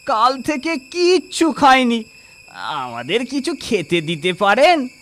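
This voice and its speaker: A performer playing a poor, cautious male wanderer in a children's cartoon fairy tale, with an anxious, halting manner. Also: medium pitch, big pitch swings, a bit bright, slight background noise. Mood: earnest.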